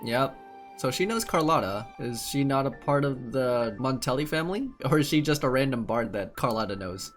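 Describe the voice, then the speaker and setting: A man's voice: medium pitch, animated, moderate pace, a bit bright, faint music behind. A man giving casual playthrough commentary as a gaming streamer, reacting aloud and musing in questions.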